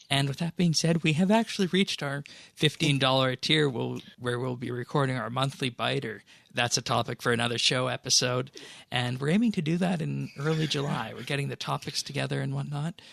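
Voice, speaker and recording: Creaky-voiced man hosting a podcast. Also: mid-range voice, a bit bright, no echo. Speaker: podcast host. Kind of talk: podcast announcements and updates.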